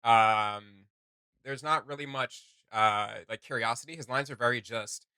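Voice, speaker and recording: A man's voice: medium pitch, unhurried, pushed and bright, clear, resonant tone, no echo. A man hosting a reaction video, chatting casually and jokily, feeling out his opinions as he goes.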